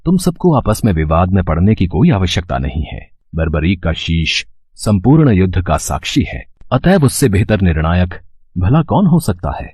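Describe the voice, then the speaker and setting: Guy playing a majestic wise god in a myth story, low husky voice, stately and calm, very dry sound. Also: dry recording, heavily acted. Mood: calm authority. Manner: measured, stately, formal. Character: calm, all-knowing male god, shrewd and majestic. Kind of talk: scripted mythological storytelling drama.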